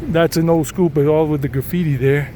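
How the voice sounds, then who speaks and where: A man's voice: fairly high pitch, steady tone, brisk, mellow. A middle-aged man, chatting casually as he walks a city street and narrates a tour. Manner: chatty and rambling, switching into Spanish with locals. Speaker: walking tour vlogger. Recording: outdoor street noise. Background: traffic and street noise.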